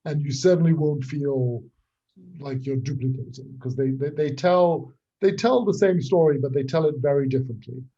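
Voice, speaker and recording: A man who is a writer and creator, explaining his work in an interview in articulate, deliberate phrases broken by pauses. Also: medium pitch, dark tone, husky, muffled recording.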